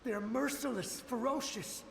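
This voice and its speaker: A man's voice: very high voice, unhurried, creaky, heavily acted. A man playing a desperate, loyal, war-weary soldier's ghost in a video game, pleading with dramatic urgency. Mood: urgent, fearful. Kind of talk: video game character acting. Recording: echoey long reverb, faint noise.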